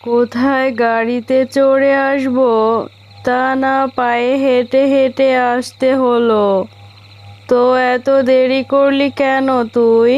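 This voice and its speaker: A woman voicing a vengeful female ghost posing as an impatient aunt in an animated horror story, with a scolding, drawn-out delivery. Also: sits high, lo-fi sound, faint music behind.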